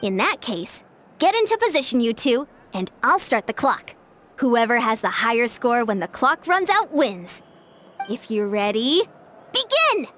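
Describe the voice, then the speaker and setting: A woman voicing a young, earnest and diligent sports coach in a video game, brisk and matter-of-fact. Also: a little high, very animated, noisy background. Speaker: coach and commentator. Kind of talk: video game character acting.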